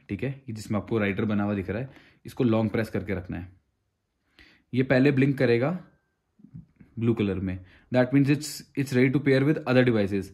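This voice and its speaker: Man, thirties, casual tutorial, conversational, step-by-step, English phrases mixed in, mid-pitched voice. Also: a little mellow. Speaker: motorcycle gear reviewer. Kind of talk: casual hands-on product tutorial.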